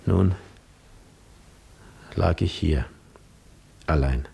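An actor playing a weary, proud seafarer lost in memories, in a poetic, theatrical 1950s radio monologue. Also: male voice, low voice, extremely slow, gravelly, mellow, breathy edge, full character voice. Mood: wistful, reflective. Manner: poetic, reflective, theatrical delivery.

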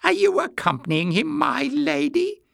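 Shrill, spiteful little man. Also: very animated, measured pace, vocal fry, fairly bright tone, no room sound, studio quality, heavily acted. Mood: mischievous. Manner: sly, jeering, exaggerated mock courtesy. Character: malicious little man, former palace acrobat, mocking and spiteful.

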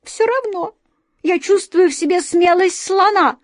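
A woman voicing a small brave grey female mouse who squeaks. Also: measured pace, no echo, clean studio sound. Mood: plucky.

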